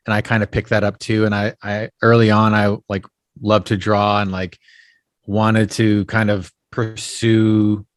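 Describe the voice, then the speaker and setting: A man's voice: on the low side, dry recording, studio quality. A man, an actor and puppeteer, telling family stories in a casual podcast interview, rambling and full of "you know" and "um". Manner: rambling storyteller, leans heavily on "you know" and "um".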